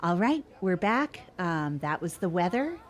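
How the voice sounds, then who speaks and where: Lower-pitched woman, rambling comedy co-host. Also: sing-song, measured pace, over loud music. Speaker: podcast co-host. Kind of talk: improvised comedy chat.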